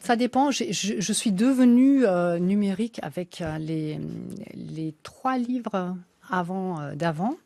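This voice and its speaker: A woman's voice: fairly low pitch, lively intonation, unhurried, warm tone, no echo. A woman who is not a native French speaker, an author speaking as a guest in a radio interview, hesitant with many fillers and restarts.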